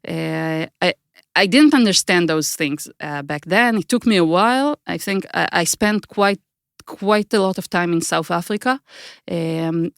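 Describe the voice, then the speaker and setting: A middle-aged Israeli woman, Hebrew her native tongue, gives measured answers in an interview. She feels her way through each thought, repeating words as she reaches for the right one. The recording is dry and close. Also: on the low side, creaky, clear, resonant tone, no room sound. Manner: thoughtful, searching for words with many restarts. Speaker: guest, author.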